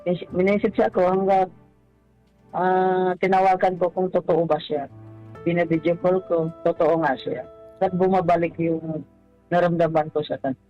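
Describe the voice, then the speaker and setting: A man phoning a radio advice show, telling a personal story haltingly, stumbling over and repeating words. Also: high voice, flat delivery. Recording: dull lo-fi call, music behind. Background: background music.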